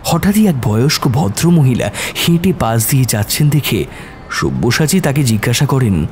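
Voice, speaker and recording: A man's voice: medium pitch, sing-song, moderate pace, a little mellow, slight background noise. A man narrating a scripted suspense story in a dramatic style.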